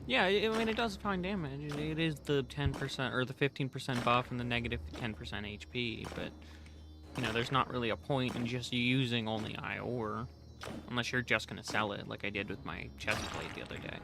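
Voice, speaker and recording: A man's voice: medium pitch, a little fast, music in the background. A man livestreaming a game, chatting casually in a rambling, slangy way. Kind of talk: casual gaming stream chat. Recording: clearly noisy background with clicks.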